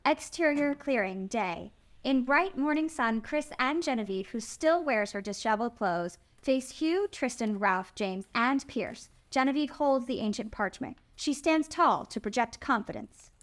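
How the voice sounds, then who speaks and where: A woman's voice: a little high, expressive, measured pace. A woman reading stage directions as the narrator of a scripted screenplay table read.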